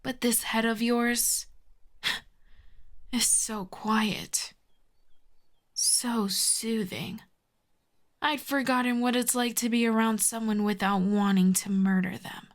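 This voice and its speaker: A woman playing a proud telepathic villainess with a soft spot, in an intimate ASMR roleplay, haughty and teasing. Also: fairly high pitch, fairly even intonation. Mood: soft, soothed. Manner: haughty, teasing, slow deliberate delivery with soft whispers. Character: proud telepathic villainess, secretly fond of a hero.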